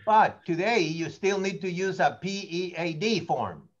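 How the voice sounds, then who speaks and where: A man's voice: high-pitched, expressive, a little slow, dull audio. An older man, not a native English speaker, running a real estate training meeting in an opinionated, rambling way, repeating words as he goes. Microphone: meeting recording.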